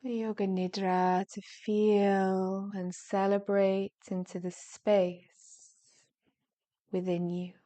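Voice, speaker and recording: Slow, mellow woman guiding relaxation. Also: medium pitch, flat delivery. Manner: soothing, gentle, drawn-out phrases with long pauses. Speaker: yoga nidra meditation guide. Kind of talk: guided relaxation narration.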